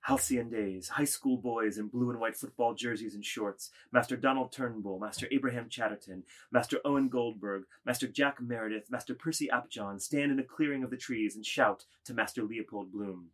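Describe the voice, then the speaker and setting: A man's voice: mid-range voice, moderate pace, few pauses. A man reading stage directions as scripted literary narration.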